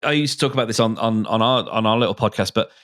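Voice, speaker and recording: A man's voice: lowish voice, quick pace, a bit bright. A man talking casually as a podcast panelist, hesitantly searching for his words. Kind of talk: casual discussion.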